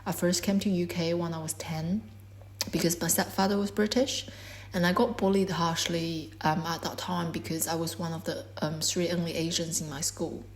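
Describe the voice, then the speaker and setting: A woman in her twenties whose first language is Korean gives a measured, reflective talk about her art. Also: lowish voice, fairly even intonation. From China. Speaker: artist. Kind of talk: prepared artist talk.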